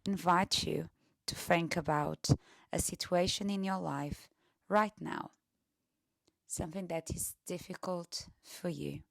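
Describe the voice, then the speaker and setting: A woman leading a guided meditation in a gentle, soft-spoken way, often slipping into near-whisper with pauses. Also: on the low side, steady tone, vocal fry, dry recording.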